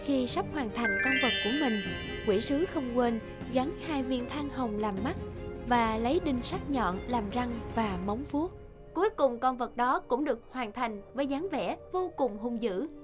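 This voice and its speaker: A woman narrates a cartoon fairy tale in a high-set voice. She reads scripted storytelling over loud music. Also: animated, unhurried. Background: loud background music.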